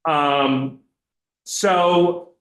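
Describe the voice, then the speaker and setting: A man speaking as a victim advocate before a legislative committee, loud and hesitant with many fillers. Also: a little high, fairly even intonation, drawn-out words. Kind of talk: committee testimony. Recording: echoey hearing room.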